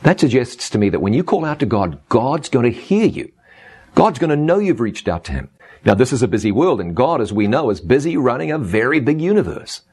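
A man's voice: mid-range voice, big pitch swings, a little fast, a little mellow, slightly rough, no room sound. A man hosting a radio Bible question show, speaking in an earnest, emphatic preaching manner.

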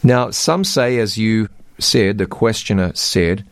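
Low-voiced man teaching the Bible. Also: takes it slowly, no echo. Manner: reads scripture aloud, quizzes his co-host.

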